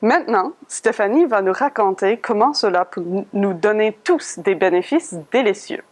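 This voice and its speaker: A woman's voice: medium pitch, expressive, normal speed. A woman giving a scripted virtual museum tour, reading a prepared text and putting questions to the listener. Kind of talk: scripted guided-tour narration.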